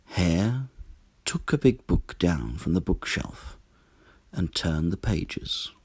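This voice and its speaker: A man narrating a children's story in an expressive, deliberate storytelling manner. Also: low voice, breathy edge, no echo. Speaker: storybook reader.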